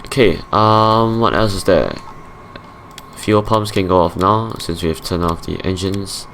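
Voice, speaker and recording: A young man with a Singaporean English accent, his low voice gravelly and raspy as if he has just woken up. He talks slowly and haltingly to himself while working through an aircraft shutdown checklist. Loud engine hum runs underneath him. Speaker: flight sim streamer. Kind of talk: casual play-by-play of a simulated flight.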